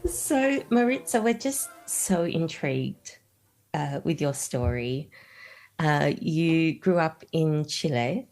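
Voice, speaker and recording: Co-host, woman, low-pitched with vocal fry, easygoing interviewer who laughs a lot. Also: lively intonation, takes it slowly, darkish tone, soft background music. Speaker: podcast co-host. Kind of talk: casual chat.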